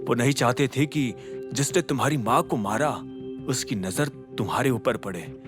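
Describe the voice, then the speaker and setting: A man's voice: mid-range voice, moderate pace, close to an everyday voice. Man playing a grave, confiding loyal associate. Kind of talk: audio drama dialogue. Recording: music under the voice.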